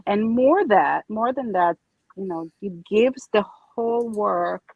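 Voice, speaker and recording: A woman's voice: medium pitch, expressive, slow pace, vocal fry, breathy edge, no room sound, phone-quality sound. A woman with an Israeli accent tinged with New York, chatting as she narrates her steps in a live craft demonstration. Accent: Israeli with New York traces. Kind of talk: live crafting demo chat.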